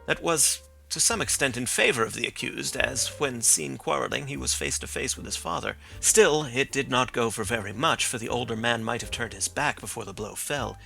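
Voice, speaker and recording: A man narrating a classic story as an audiobook, reading in a steady, reflective way. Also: mid-range voice, gravelly.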